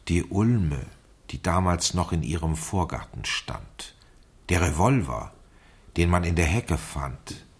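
A man reads out a scheme in a radio drama. His voice is very deep and husky, and he takes it slowly, pointing each phrase with deliberate care. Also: exaggerated voice. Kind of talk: 1970s German radio play, reading aloud.

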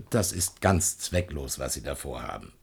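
An actor in a radio play voicing a talkative, evasive shopkeeper with a patronizing, emphatic manner. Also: male voice, extremely low pitch, sing-song, measured pace, vocal fry, breathy edge, exaggerated voice. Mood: dismissive. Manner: chatty, patronizing, theatrically emphatic. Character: talkative, evasive male shopkeeper selling pottery paints. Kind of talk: German radio play acting.

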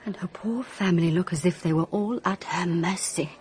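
An actress playing a bright, curious young British doctor in a radio drama, eager and confiding. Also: female voice, fairly low pitch, normal speed, lightly acted. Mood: intrigued. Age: young adult.

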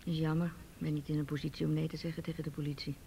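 A low-pitched woman in a radio drama, guarded and weary. She speaks in curt, reserved phrases. There is faint noise on the recording. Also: flat delivery, racing, gravelly, warm tone. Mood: irritated, guarded. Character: guarded, weary woman providing for younger dependant. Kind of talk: naturalistic Dutch radio drama acting.

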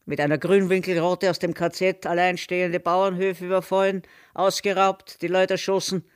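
An actor playing a shaken, haunted survivor in a radio drama monologue, halting and reflective, with a southern German or Austrian accent. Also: male voice, high-pitched, level tone, clean tone, no room sound, studio quality, close to an everyday voice. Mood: bitter recollection.

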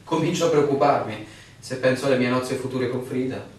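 An actor playing a questioning, sceptical bridegroom-to-be in a stage-like radio drama, probing and a little hesitant. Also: male voice, mid-range voice, a little fast, natural voice. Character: questioning, sceptical newcomer engaged to be married. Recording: echoey room, distant mic, faint noise.

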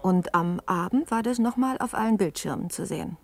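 A woman's voice: mid-range voice, animated, brisk, close to an everyday voice. An actress playing a cool, dry, assured female journalist in a German radio play. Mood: wry, composed. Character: cool, wry female journalist recalling events.

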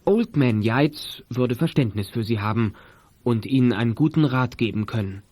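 A man reading scripted narration for a children's detective audio play, as a calm storyteller. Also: medium pitch, moderate pace, no room sound, lightly acted. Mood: measured.

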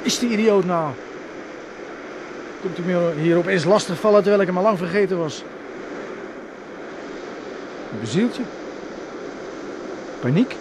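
A man's voice: sits high, animated, unhurried, long pauses. An actor playing an exasperated, scornful older brother in a radio play, mocking and irritated as he speaks. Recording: noisy old radio play recording.

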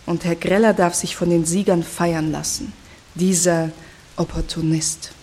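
An actress playing a bitter, reflective commune activist in a scornful, brooding radio play monologue. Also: female voice, fairly low pitch, a little mellow.

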